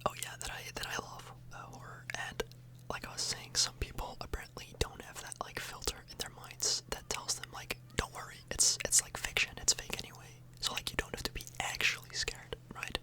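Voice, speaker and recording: A woman's voice: brisk, hardly any pauses, rough recording. Whispering woman, casual ASMR ramble. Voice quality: breathy, unvoiced whisper. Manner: informal, hesitant, meandering. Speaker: ASMR video host. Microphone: close microphone.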